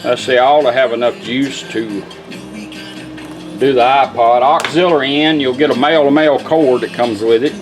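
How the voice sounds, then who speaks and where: A man's voice: medium pitch, fairly even intonation, moderate pace, rough recording. A man giving a casual product demonstration in an informal, folksy way. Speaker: radio restorer demonstrating a product. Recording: radio music playing in the background. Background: music from the demonstrated car radio.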